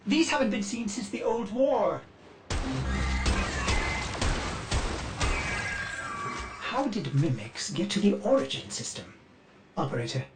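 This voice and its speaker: A fussy, animated ship computer. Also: male voice, sits high. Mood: alert, curious, then wary. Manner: hesitant, theatrical, halting delivery. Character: fussy, anxious male ship computer, eager servant. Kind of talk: video game character dialogue.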